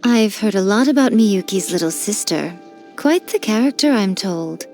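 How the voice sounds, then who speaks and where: A woman's voice: mid-range voice, expressive, moderate pace, darkish tone, faint music behind, natural voice. A woman voicing a mischievous fox-spirit shrine priestess in a game, playful and teasing. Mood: amused. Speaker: game character. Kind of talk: studio game voice lines.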